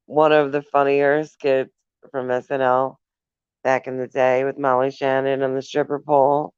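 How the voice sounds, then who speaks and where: A woman's voice: a bass voice, level tone, moderate pace, a little mellow, clean tone, dry recording, lo-fi sound. A woman hosting a casual live stream, reading viewer comments aloud, laughing often and speaking fondly to her viewers. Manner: giggly, laughs often between remarks; affectionate toward viewers.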